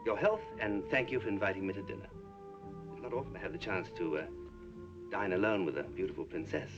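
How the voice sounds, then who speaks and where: An actor playing a suave, flirtatious gentleman with urbane courtesy, in 1960s film comedy style. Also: lowish voice, expressive, fairly quick. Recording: muffled old film soundtrack with music.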